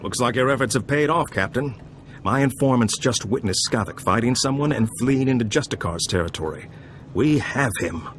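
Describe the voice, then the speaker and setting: An actor playing a suave, calm information broker in a video game, speaking in a measured, wry and courteous way. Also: male voice, medium pitch, soft background music, close to an everyday voice. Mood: serious, measured.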